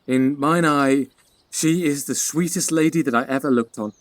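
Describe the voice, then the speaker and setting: An actor playing an earnest young lord in love, pleading sincerely in a Shakespearean audio play. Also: male voice, on the high side, normal speed, slight background noise, natural voice. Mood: lovestruck. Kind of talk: Shakespearean audio drama acting.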